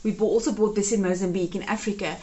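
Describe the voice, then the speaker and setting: A woman's voice: medium pitch, steady tone, very fast, warm tone. A woman in her thirties whose first language is Afrikaans, chatting her way through a home decluttering vlog and gushing over keepsakes. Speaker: home organizing vlogger.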